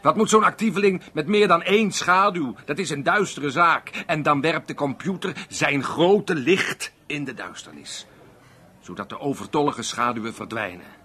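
A man acting a bitter, cynical working-class carpenter in a radio play, gruff and sardonic. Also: a little high, normal speed, pushed and bright. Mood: bitter musing.

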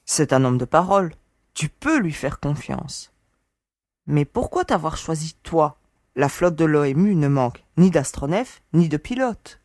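A woman's voice: deep voice, very animated, measured pace, darkish tone, no room sound, heavily acted. An actress playing a proud, sceptical young woman in a science fiction audio drama, with a dry, blunt manner. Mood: sceptical, incredulous. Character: proud, sceptical, sharp-tongued young woman space traveller.

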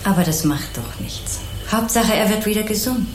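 An actress playing a kindly, naive, chatty housewife in an obliging, rambling way in a German crime radio play. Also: female voice, mid-range voice, lively intonation, moderate pace, reverberant, over music, lightly acted. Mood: polite, concerned. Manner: obliging, artless, rambling, sympathetic.

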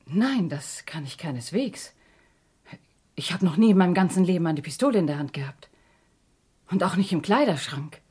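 A woman playing an indignant, strong-willed mother in a German radio crime drama, husky and emphatic. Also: on the low side, expressive, moderate pace, pauses often, darkish tone. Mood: indignant, defensive.

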